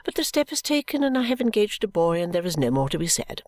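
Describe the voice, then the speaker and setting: A woman reader voicing a middle-aged mother who answers her daughter in a calm, clear, complacent and coolly dismissive way. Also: mid-range voice, fast, no echo, close to an everyday voice. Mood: weary brisk.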